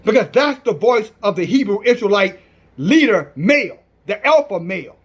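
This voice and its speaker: A man preaching in a strong, loud voice, reading scripture and commenting on it in a declamatory, defiant manner. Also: high-pitched, big pitch swings, moderate pace, husky. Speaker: Hebrew Israelite preacher.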